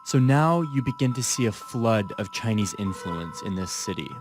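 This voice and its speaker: A man narrates a documentary in a measured, explanatory tone. His voice sits a little low, and clear music plays under his words. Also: expressive. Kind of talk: scripted narration.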